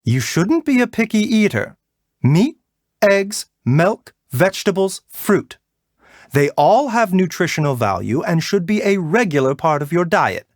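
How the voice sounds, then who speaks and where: A man voicing a gentle, refined video game doctor who is polite, soft-spoken, caring and a little wry. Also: high voice, very animated, dry recording, studio quality, heavily acted. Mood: gently admonishing. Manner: measured, soft-spoken, polite, lightly teasing. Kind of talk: video game character voice lines.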